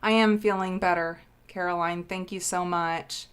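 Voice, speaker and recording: A woman's voice: on the low side, fairly even intonation, normal speed, smooth. A woman hosting a casual craft live stream, bubbly and sniffly from a cold, greeting viewers by name.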